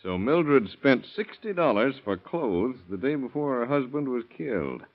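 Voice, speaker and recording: An actor playing a shrewd, blunt sheriff who presses with questions in a 1930s radio crime drama. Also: male voice, mid-range voice, very animated, moderate pace. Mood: musing. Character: shrewd, probing male county sheriff questioning suspects. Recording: dull, muffled vintage radio recording.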